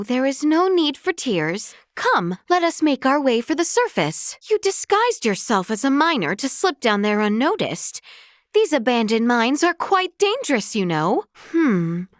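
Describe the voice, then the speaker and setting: A young royal lady from a fantasy game, gracious and sincere. Her voice sits fairly high and moves with lively, sweeping intonation. She phrases everything with formal, courtly politeness, captured in a very dry recording. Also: no room sound. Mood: courteous, gentle. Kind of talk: scripted video game voice acting.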